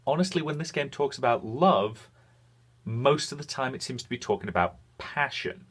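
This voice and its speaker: A man with a mid-pitched voice presents a video game review. His delivery is measured and opinionated, partly scripted, and he pauses deliberately to land a point. He comes across as frank in giving his verdicts. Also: fairly bright tone. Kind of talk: semi-scripted review commentary.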